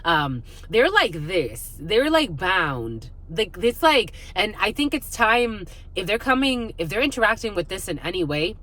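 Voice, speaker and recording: A woman's voice: medium pitch, sing-song, unhurried, hardly any pauses, fairly bright tone. Woman giving a rambling spiritual reading. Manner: rambling, frequent "like", repeats phrases. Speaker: spiritual reader hosting online readings. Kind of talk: improvised intuitive psychic reading, casual.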